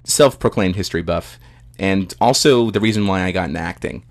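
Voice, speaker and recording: A man's voice: deep voice, fairly quick. A man hosting a podcast, chatting casually as he interviews a guest. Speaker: podcast host.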